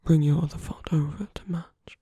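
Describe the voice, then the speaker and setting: A woman performing an ASMR roleplay as a suave, teasing, flirtatious villain, in a breathy, husky half-whisper with slow, coaxing murmurs. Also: mid-range voice, level tone, dark tone, dry recording. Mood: patient, guiding. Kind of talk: ASMR roleplay, intimate scripted monologue.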